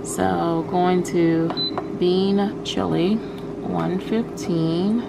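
Casual lower-voiced woman, very noisy recording. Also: fairly even intonation, drawn-out words, gravelly. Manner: informal, thinking aloud. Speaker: vlog host, home cook. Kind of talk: casual cooking vlog chat. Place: home kitchen, indoors. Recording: very noisy kitchen camera audio.

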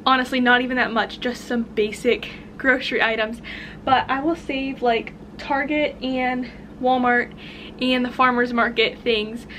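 A woman's voice: on the high side, steady tone, a little slow, a bit bright, a little hiss or hum. A young American woman chatting informally and casually, as a vlogger talking to her viewers. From United States. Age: young adult. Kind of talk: casual vlog chat.

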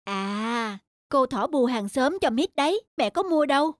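A woman's voice: sits high, animated, measured pace, a little hiss or hum. A woman voicing a firm but loving cat mother in a children's cartoon, motherly in the way she speaks. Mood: explaining. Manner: motherly, scolding and soothing. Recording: studio voice over background music.

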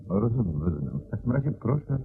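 A man's voice: fairly low pitch, big pitch swings, fast, a little hiss or hum. An actor playing a genial, self-assured private detective in a radio play, courteous and savouring his words. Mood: polite, attentive. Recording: dull lo-fi radio drama recording.

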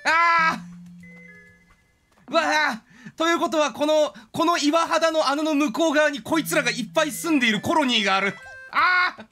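A man's voice: very high voice, normal speed, faint music behind. A man doing casual game commentary as a streamer, exclaiming and talking to himself as he plays. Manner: animated, exclamatory, talks to himself.

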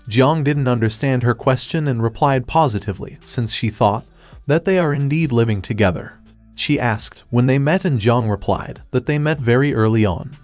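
A man giving a scripted third-person recap of a comic story in a steady retelling manner. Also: mid-range voice, no room sound. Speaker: recap narrator. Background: faint background music.

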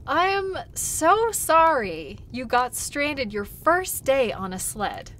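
Woman acting as a caring sled guide lady, talks slow and gentle, bit teasing, noisy ambience behind her. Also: high-pitched, big pitch swings, exaggerated voice. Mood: warm, apologetic. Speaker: voice actor in an audio role play. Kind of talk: intimate audio role play acting.

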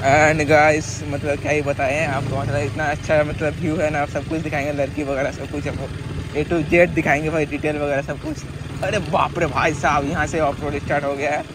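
A young man talks to his viewers from a motorcycle on a dirt track. His voice sits somewhat high, and he chats loosely while leaning on fillers. Wind and a loud engine are clearly heard through the bike-mounted camera. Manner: chatty, keeps repeating "guys" and "matlab" fillers. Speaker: motovlogger. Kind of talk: casual motovlog narration while riding. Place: outdoors on dirt tracks beside a pond. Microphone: phone or action camera on the bike.

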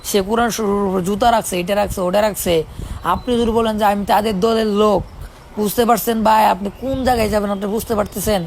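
A man's voice: high-pitched, steady tone, quick pace, gravelly, a little hiss or hum. A man with a Bangladeshi accent talking casually in an insistent, persuading way, often repeating his appeals. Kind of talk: casual conversation.

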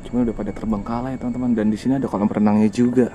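A man's voice: lowish voice, level tone, very fast, warm tone, lo-fi sound. A young man with a Jakarta-area Indonesian accent narrates a casual exploration vlog in a slangy, exclamatory way, dropping in English interjections. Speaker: vlogger exploring abandoned places. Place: abandoned villa at night, moving through rooms. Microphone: handheld camera microphone. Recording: clearly noisy handheld outdoor-indoor ambience.

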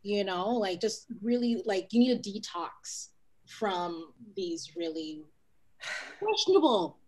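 A woman's voice: medium pitch, lively intonation, takes it slowly, darkish tone, low-quality recording. A woman improvising conversational comedy scenes on a video call from a room at home. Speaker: improv performer.

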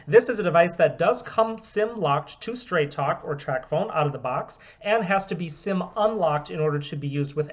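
A man in his thirties from the US, steadily explaining a phone unlock demo in a small room at home. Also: on the high side. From United States. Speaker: phone repair business owner. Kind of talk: product demonstration. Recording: dull, lo-fi camera audio.

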